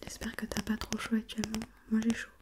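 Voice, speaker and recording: A woman whispering breathily in an ASMR role play, gently putting questions step by step as an examiner and softly repeating herself. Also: on the high side, monotone, fairly quick. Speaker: examiner in a role-played visual test.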